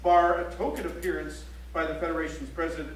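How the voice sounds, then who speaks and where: A man playing a grave, pointed political chronicler, reciting formally on stage in a measured, emphatic way. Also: on the high side, flat delivery, lightly acted. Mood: critical, emphatic. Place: library auditorium stage. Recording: echoey auditorium, distant mic, faint hum.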